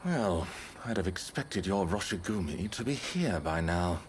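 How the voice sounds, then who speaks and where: Deep, haughty stern conspirator. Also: male voice, animated, a little slow, a little hiss or hum. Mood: cold disdain. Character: stern, disdainful political conspirator in feudal Japan. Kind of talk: dramatic video game dialogue.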